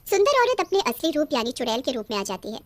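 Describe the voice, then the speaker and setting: A woman's voice: sits high, very animated, fast talker, no echo. A woman narrating a scripted cartoon horror story as the storyteller.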